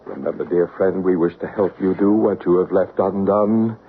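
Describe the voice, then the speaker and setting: An actor playing a calm man who leads a seance and narrates the story, in a 1970s radio drama. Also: low-pitched, vocal fry, a little hiss or hum. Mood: solemn. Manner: solemn, measured, hushed. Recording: tinny 1970s radio broadcast with faint music.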